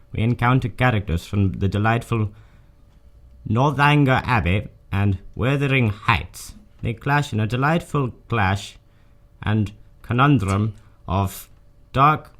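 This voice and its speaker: A man announcing a radio programme in scripted narration. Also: fairly low pitch.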